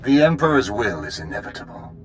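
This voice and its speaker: An actor playing a fanatical Sith executor in a video game, solemn, commanding and menacing. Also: male voice, medium pitch, big pitch swings, fairly quick, faint music behind, natural voice. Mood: zealous, triumphant. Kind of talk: dramatic video game villain acting.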